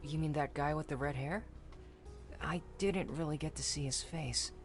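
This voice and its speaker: A timid, earnest young character acted by a woman with a notably low voice for a female. The delivery is hesitant and observant, in visual novel style, over clearly audible music. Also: normal speed, close to an everyday voice. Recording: prominent music behind the voice.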